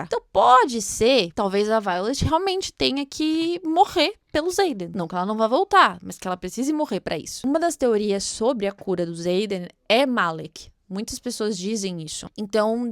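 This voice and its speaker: A woman's voice: on the high side, no room sound, studio quality. A woman with a Brazilian accent hosts a book read-along, commenting casually and thinking aloud in an informal, chatty way.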